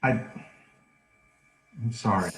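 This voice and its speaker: A man's voice: takes it slowly, soft background music, natural voice. A man playing an ashamed husband in a screenplay read, subdued and apologetic. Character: ashamed husband leaving his wife. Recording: roomy, echoing microphone sound.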